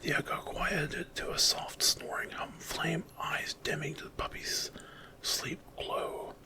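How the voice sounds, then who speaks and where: A man narrating a dramatic, intense fantasy horror story entirely in ASMR whispers. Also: takes it slowly.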